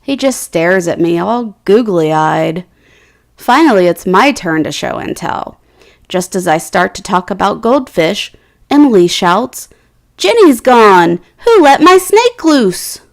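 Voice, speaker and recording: A woman reading a children's picture book aloud, slow and expressive, giving the characters' quotes their own lift. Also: mid-range voice.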